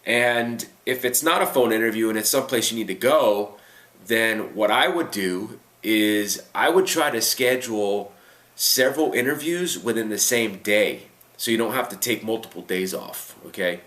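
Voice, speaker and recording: A man speaks in a fairly low voice with noticeable room echo. He gives informal, unscripted advice as the host of a Q&A show. His delivery is instructive and emphatic, laying out points one by one and frequently checking that the listener follows. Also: expressive, moderate pace, fairly bright tone. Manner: instructive, emphatic step-by-step, often checking with "okay?" and "right?". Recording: echoey, roomy sound.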